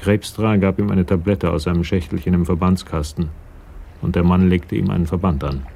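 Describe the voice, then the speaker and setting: An actor narrating a radio crime drama in a measured, matter-of-fact way as a sober storyteller. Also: male voice, low-pitched, fairly even intonation, gravelly, mellow.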